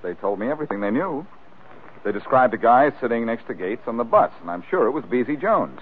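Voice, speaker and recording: An actor playing a cocky, breezy, wisecracking amateur detective in a 1940s radio drama. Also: male voice, medium pitch, animated, brisk, breathy edge. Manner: breezy, teasing. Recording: tinny band-limited 1940s radio recording.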